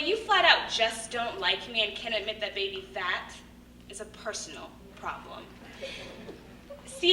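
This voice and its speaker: Very bright, assertive young woman on stage. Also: sits high. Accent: American. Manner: assertive, emphatic, punchy statements with pointed pauses. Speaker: monologue performer. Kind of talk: theatrical spoken monologue on stage. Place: stage at a live show. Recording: echoey hall from far off, faint noise.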